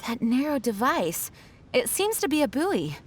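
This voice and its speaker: A woman voicing a dutiful, composed young knight leader who speaks formally and earnestly in an animated video game. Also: sits high, full character voice. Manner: formal, measured phrasing. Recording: music behind.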